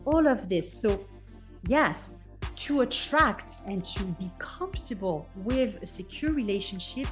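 Middle-aged French-accented woman, mid-pitched and earnest, with faint music behind her, explaining things in an interview. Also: lively intonation, a little slow. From France. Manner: earnest, explaining, fillers like "you know". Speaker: guest love coach. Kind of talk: casual interview chat.

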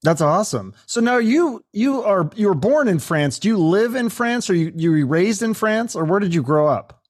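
A man's voice: high voice, lively intonation, normal speed, husky. A man hosting an interview, chatty and eager, asking casual questions. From United States. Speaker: podcast host.

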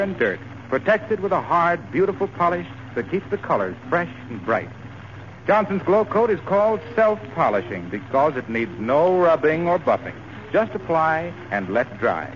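A man's voice: on the high side, very animated, normal speed, a little hiss or hum. An American man announcing in a scripted 1940s radio style, on a muffled old broadcast recording.

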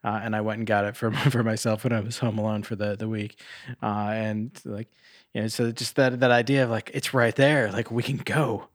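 A man's voice: on the low side, little pitch movement, fairly quick, no echo, clean studio sound. A man co-hosting a podcast in casual chat, rambling along and swearing casually. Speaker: podcast co-host.

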